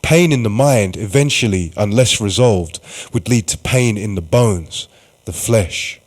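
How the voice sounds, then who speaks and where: A man's voice: fairly low pitch, animated, measured pace, darkish tone. A man reading scripted, dramatic narration for a radio play. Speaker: narrator and writer-performer.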